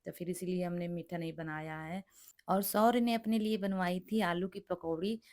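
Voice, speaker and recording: A middle-aged woman with a hoarse, cracked voice from a sore throat, chatting through a home cooking vlog and explaining each step. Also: on the low side, steady tone, moderate pace, darkish tone. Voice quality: cracked, rough voice. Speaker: vlogger cooking for guests. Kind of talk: casual cooking vlog narration.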